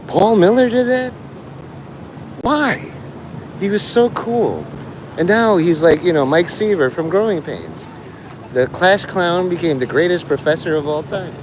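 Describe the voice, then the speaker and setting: Male streamer, slightly high voice, loose and digressive delivery, blunt and sweary, noticeable street noise. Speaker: live streamer. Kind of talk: rambling casual monologue to listeners. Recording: clear outdoor traffic and vehicle noise.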